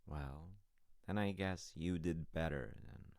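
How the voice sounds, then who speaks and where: A man playing a warm, rambling father in an audio roleplay, telling stories haltingly with wry asides to his child. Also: a bass voice, no echo, studio quality, heavily acted. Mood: gentle, reassuring. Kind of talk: audio roleplay, intimate storytelling.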